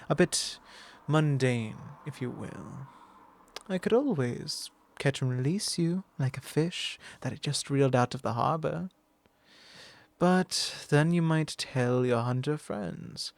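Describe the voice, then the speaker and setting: A man doing a slow, gravelly, smug vampire. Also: mid-range voice, darkish tone, no room sound. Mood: menacing. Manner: condescending, drawling, sometimes whispering. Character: arrogant possessive immortal male vampire. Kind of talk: ASMR roleplay.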